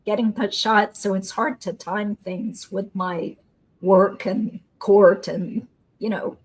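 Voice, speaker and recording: A woman's voice: mid-range voice, steady tone, takes it slowly, slightly rough, low-quality recording. A woman host, a lawyer, chatting casually in an upbeat way and explaining court matters. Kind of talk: casual chat and legal commentary.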